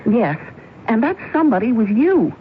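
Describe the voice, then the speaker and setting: A woman's voice: a little high, big pitch swings, normal speed. An actress playing a brusque, skeptical mature woman in a radio drama, with a dry, sardonic delivery. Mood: exasperated. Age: middle-aged. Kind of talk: 1970s radio drama acting. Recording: muffled, hissy old radio recording.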